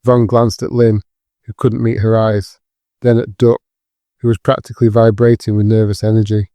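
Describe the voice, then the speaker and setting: A man narrates a scripted drama in a mid-pitched, mellow voice. His delivery stays even and controlled. The sound is dry and close. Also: moderate pace, no echo.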